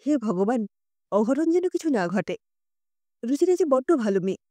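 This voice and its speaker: Casting: female reader as worried young granddaughter in an audio drama, earnest, high, very expressive pitch. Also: normal speed, no echo. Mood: anxious, earnest. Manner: pleading, earnest. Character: anxious, soft-hearted young granddaughter confiding in elders.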